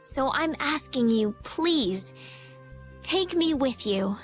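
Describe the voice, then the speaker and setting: Young heroine, high voice, gentle, earnest, determined, video game character. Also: female voice, animated, slow, soft background music. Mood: earnest, pleading.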